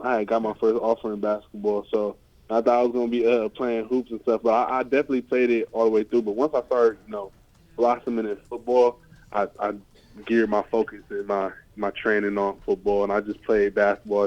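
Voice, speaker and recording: A man's voice: fairly low pitch, flat delivery, brisk. Young guy from the states, athlete doing casual interview, says you know and like a lot, muffled call sound. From United States (California and Ohio). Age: 20s. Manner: informal, repeats "you know" and "like" often. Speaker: American football player, linebacker. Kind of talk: casual press interview answers. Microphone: remote conference call. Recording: dull, lo-fi conference call audio.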